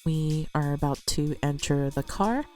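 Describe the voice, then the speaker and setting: Congested, low-pitched woman telling a story. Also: measured pace, darkish tone, soft background music. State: congested from a cold. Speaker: host narrating a personal account. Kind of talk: casual storytelling narration.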